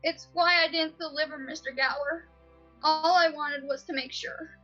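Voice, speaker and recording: Radio play: woman as pleading schoolboy, earnest and scared, very high, piercing, slightly lo-fi. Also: steady tone, moderate pace, bright, forceful tone, soft background music. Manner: pleading, urgent. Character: earnest, frightened young schoolboy shop helper. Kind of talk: old-time radio play acting.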